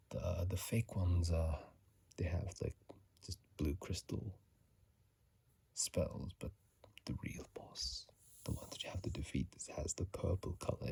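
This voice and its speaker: A host delivers ASMR game commentary in a low, dark whisper. The delivery is slow and halting, with words often repeated. The voice is husky and breathy, picked up on a phone microphone. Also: no room sound.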